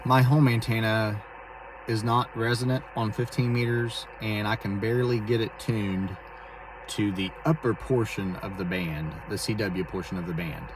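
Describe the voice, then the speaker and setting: Guy with a lowish voice doing a casual radio hobby vlog in his car, mic kinda far off, clear background noise. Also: steady tone, normal speed. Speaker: amateur radio operator and video host. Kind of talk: casual explanatory vlog talk. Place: inside a vehicle.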